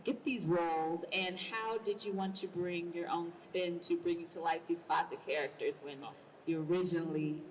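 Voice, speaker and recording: A woman hosting a live convention panel as moderator, speaking with excited enthusiasm. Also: on the low side, normal speed, slight background noise, poor audio.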